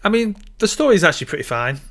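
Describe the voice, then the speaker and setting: A man's voice: sits high, sing-song, fast, fairly bright tone. A man co-hosting a podcast, talking in a casual film review chat with a wry manner. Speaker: podcast co-host.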